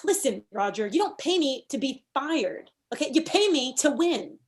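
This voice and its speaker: A woman plays a cynical campaign manager in a comedy read. Her voice sits fairly high, and she is confident, pushy and sardonic. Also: big pitch swings, moderate pace. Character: brisk, cynical female political campaign manager. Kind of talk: comedy script table read.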